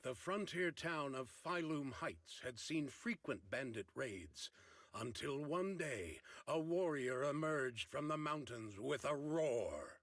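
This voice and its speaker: A man's voice: medium pitch, lively intonation, slow pace, a bit bright, no echo, full character voice. A man playing a grandiose storyteller narrating a martial arts tale in a game, dramatic and declamatory. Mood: grand, dramatic.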